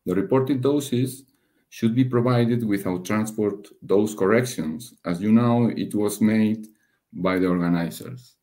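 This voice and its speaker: A man giving a technical slide presentation as a webinar speaker, halting and hesitant, often pausing and rephrasing. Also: lowish voice, dark tone. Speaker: webinar presenter, radiation dosimetry researcher.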